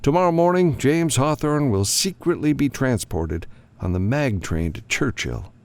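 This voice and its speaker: A man's voice: medium pitch, animated, normal speed, darkish tone, voice pushed up, lightly acted. A man voicing a grim, commanding government lawman in an audiobook, giving a frank, businesslike briefing. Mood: businesslike, firm.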